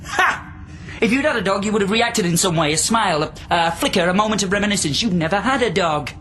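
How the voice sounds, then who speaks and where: A man's voice: sits high, lively intonation, fast, sharp tone. Volatile male captive amid noisy ambience. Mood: goading, agitated. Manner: probing, rambling, swinging between tender and menacing. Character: volatile male captive from centuries past, pleading and goading. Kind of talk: radio drama acting.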